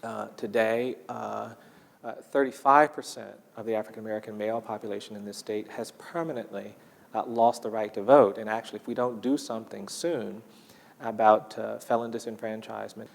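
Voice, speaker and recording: A man giving measured, explanatory interview commentary, deliberate and emphatic in his delivery. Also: on the low side, steady tone, vocal fry, big-room sound. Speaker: documentary interviewee.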